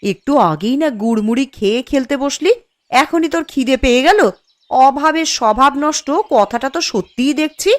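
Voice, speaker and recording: A woman's voice: high-pitched, animated, normal speed. A woman playing a weary, scolding but caring village housewife and mother in a children's folktale cartoon. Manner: nagging, chiding. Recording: music under the voice.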